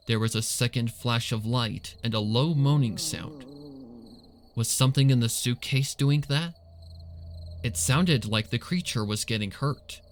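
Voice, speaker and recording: A man reading a story aloud as a narrator, in an expressive, suspenseful, dramatic style. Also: mid-range voice. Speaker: fan fiction narrator.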